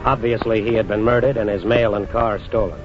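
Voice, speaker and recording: Grave, low-voiced radio drama narrator. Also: male voice, steady tone, a little fast. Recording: muffled 1940s radio broadcast with music.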